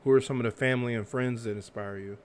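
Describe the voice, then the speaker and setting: A man from Texas hosting a casual interview, relaxed and full of slang. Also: medium pitch, quick pace. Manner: laid-back slang, street talk. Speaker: podcast host.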